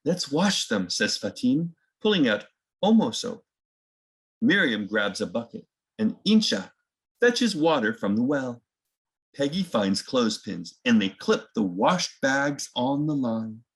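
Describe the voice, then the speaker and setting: A man reads a storybook aloud to children at an unhurried pace. His voice has some gravel and creak in it, and his pitch is lively. He speaks simply, as if explaining to young listeners. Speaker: children's read-aloud host.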